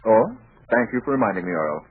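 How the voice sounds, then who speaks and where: Stuffy professor, pedantic, hesitant, lively swooping intonation, 1940s radio comedy, dull narrow-band recording. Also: male voice, lowish voice, fast talker, breathy edge. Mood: prim, polite. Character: stuffy, bookish zoology professor, politely exasperated.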